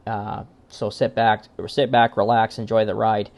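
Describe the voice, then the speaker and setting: A man's voice: lowish voice, level tone, a little slow, a little mellow. A man giving an informal recorded presentation in an earnest, wordy way with formal phrasing. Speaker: conference presenter, amateur radio exam team founder.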